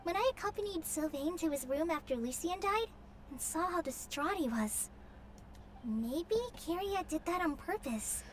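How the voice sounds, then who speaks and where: A woman's voice: very high-pitched, lively intonation, moderate pace, slight background noise. A woman voicing an earnest, empathetic and troubled young helper in a video game. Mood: pensive.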